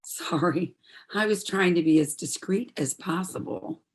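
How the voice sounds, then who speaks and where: A woman's voice: fairly low pitch, measured pace, close to an everyday voice. Woman acting an earnest, troubled young daughter. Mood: evasive, subdued. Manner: pleading, heartfelt. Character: earnest, troubled 26-year-old daughter, medical student. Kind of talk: stage play dialogue, audio performance.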